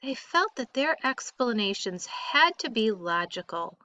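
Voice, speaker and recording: A woman teacher reading a school textbook aloud in a deliberate, emphatic way. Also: on the high side, very animated, pushed and bright.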